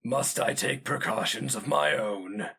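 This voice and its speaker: A man voicing a stern, scowling hero mage in a dramatic fantasy reading, mild and deliberate in his menace. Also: mid-range voice, vocal fry, pushed and bright, husky, exaggerated voice. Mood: stern, suspicious.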